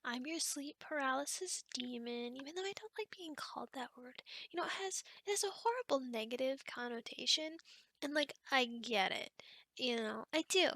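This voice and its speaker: A woman's voice: high voice, animated, moderate pace, sharp tone, no room sound, full character voice. A woman playing an awkward, chatty young sleep paralysis demon in an ASMR roleplay, rambling hesitantly and often dropping toward a whisper. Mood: sheepish, chatty.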